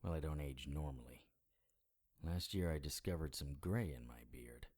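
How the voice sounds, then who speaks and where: An actor playing a wry, world-weary immortal investigator in middle age, with a dry, dramatic delivery in an audio drama. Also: male voice, a bass voice, normal speed, a little mellow. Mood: weary, confiding. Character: wry world-weary immortal male investigator who looks about forty. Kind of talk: audio drama dialogue and first-person noir narration.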